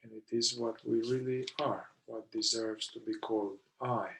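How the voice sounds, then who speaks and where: A man leading a guided meditation in short, deliberate phrases with long pauses between them. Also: mid-range voice, little pitch movement, vocal fry, fairly bright tone, a little hiss or hum, rough recording. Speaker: meditation guide, somatic therapist.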